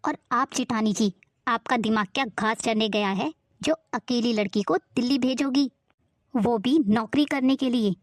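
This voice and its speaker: A woman voicing a middle-aged, scheming aunt who scolds and accuses her family in an animated drama. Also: on the high side, normal speed, no room sound, clean studio sound, natural voice. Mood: accusing. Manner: scolding, accusing, sharp-tongued.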